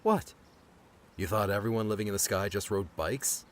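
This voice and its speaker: A man's voice: fairly low pitch, normal speed, slight background noise, close to an everyday voice. A man playing a laid-back, protective rescuer with dry humor in an audiobook. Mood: wry. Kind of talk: audiobook character dialogue.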